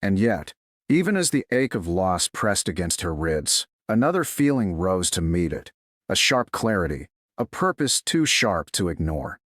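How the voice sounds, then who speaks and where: A man reading scripted dramatic fiction as a narrator, in a measured, solemn way. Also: low-pitched, big pitch swings, a bit bright, no echo, clean studio sound. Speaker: story narrator.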